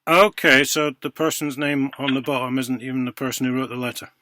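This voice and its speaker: A man's voice: medium pitch, fairly even intonation, fairly quick, pushed and bright, no room sound. A British man in a casual phone conversation, speaking in an insistent, plain-spoken, matey way. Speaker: caller disputing a court judgment.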